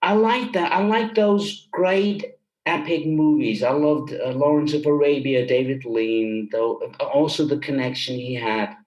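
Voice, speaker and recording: A man's voice: on the high side, steady tone, unhurried, darkish tone, lo-fi sound. A man from Germany talking casually as an interview guest, explaining things with enthusiasm as a film director and composer. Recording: roomy, echoey sound.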